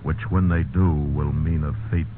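A man giving dramatic, deliberate and ominous 1950s radio narration. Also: rumbling low, steady tone, over music. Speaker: announcer. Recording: tinny, noisy old radio recording.

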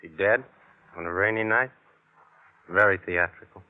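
Man acting surly low-voiced tough, crackly old radio. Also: normal speed, close to an everyday voice. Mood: surly, mocking. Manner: mocking, clipped, defiant. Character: surly, sarcastic tough-guy male murder suspect. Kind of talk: 1950s radio noir acting. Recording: noisy narrow-band old radio recording.

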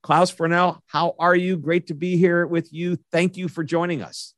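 A man's voice: on the high side, flat delivery, normal speed, a bit bright, no echo. A man hosting an interview show, enthusiastic and upbeat as he gives announcements and introduces his guest. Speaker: podcast host.

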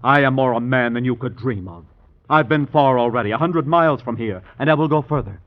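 A man's voice: mid-range voice, fairly quick, lightly acted. An actor playing an insolent, taunting young man, a restless son in an old radio drama. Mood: mocking, defiant. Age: young adult. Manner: taunting, theatrical, mocking. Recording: tinny, narrow-band old radio recording.

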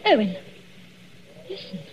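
An actress playing a nervous, impatient, guarded younger wife in a 1940s radio suspense drama, speaking in crisp, anxious, clipped phrases. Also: female voice, slow pace, faint music behind, close to an everyday voice. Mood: jumpy, anxious. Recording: muffled, noisy 1940s radio recording.

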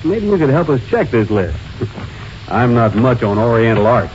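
An actor playing an easygoing small-town sheriff with an offhand, musing manner in a 1940s radio drama. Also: male voice, mid-range voice, sing-song, darkish tone, natural voice. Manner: offhand, hesitant, drawn-out musing. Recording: muffled, hissy old radio recording.

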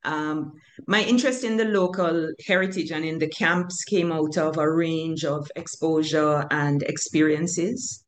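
An older woman from Jamaica with a Jamaican accent, answering interview questions in a measured, hesitant way with many fillers. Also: deep voice. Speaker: author, guest. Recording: echoey room with faint noise.

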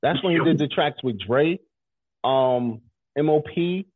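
A congested male radio host argues his point hard, doubling back on his words as he pushes. His voice is husky and a little high for a man. It comes through tinny and dry, like over a phone line. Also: lively intonation, a little slow, dry recording. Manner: argumentative, insistent, repeats words. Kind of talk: casual debate chat.

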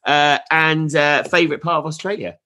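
A man from England hosting a podcast in a friendly, upbeat way. He fires off quick questions and gives cheerful sign-offs. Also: on the high side, fairly even intonation, pushed and bright. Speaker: podcast host. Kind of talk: casual interview and podcast outro.

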